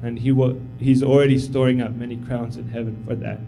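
Casual guest preacher, a man raised in Tanzania, mid-pitched, soft music under him. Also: little pitch movement, fairly quick, gravelly, rough recording. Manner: humble, casual, encouraging, with many fillers. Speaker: visiting missionary speaker. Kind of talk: informal church sermon. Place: church sanctuary.

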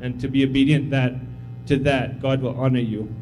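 A man's voice: mid-range voice, steady tone, moderate pace, a little mellow, a little hiss or hum, poor audio. A man in his thirties who grew up in Tanzania, giving an informal, humble sermon as a visiting missionary, encouraging and full of fillers. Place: church sanctuary.

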